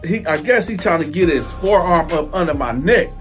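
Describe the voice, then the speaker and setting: Male vlog storyteller with a fairly high pitch; lively and animated, slips into character voices. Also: moderate pace, faint music behind. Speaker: storytime channel host.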